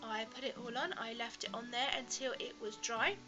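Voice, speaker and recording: A woman's voice: on the high side, normal speed, lo-fi sound, music in the background. A woman, bunged up with a cold, chatting through a beauty box review to her webcam in her bedroom in a friendly, rambling way. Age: 20s. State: congested from a cold, stuffy nasal voice. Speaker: beauty vlogger. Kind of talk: casual product review to camera. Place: bedroom, indoors. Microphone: laptop webcam at close range.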